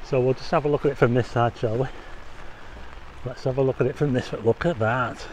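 A man's voice: mid-range voice, steady tone, a little fast, darkish tone, slight background noise, low-quality recording. A man casually narrating a walk outdoors on a rainy street, chatty, asking viewers questions and making wry asides. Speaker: walking tour vlogger. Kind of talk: casual walking commentary.

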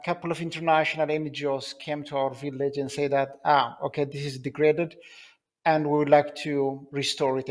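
A man in his thirties from Ethiopia, joining a conference panel by video call on a headset mic and explaining in an earnest, measured way. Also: fairly high pitch.

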